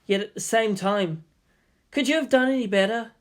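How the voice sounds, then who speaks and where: A calm, sorrowful teenage boy as a narrator acts him out in a story reading. He speaks softly and earnestly, with a measured, pleading manner. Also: medium pitch, pitched above the performer's own voice, lightly acted. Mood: calm remorse.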